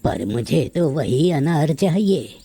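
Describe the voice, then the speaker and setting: A man voicing a humble but insistent old beggar, pleading slowly in a fairy tale. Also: a little high, expressive, dark tone, no echo, heavily acted.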